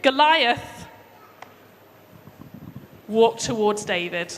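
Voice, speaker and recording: Female live children's storyteller, highish voice, theatrical and emphatic, church hall reverb with noticeable noise. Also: animated. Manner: theatrical, emphatic, deliberate phrasing. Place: large room, live church service. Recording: echoey hall, clear background noise.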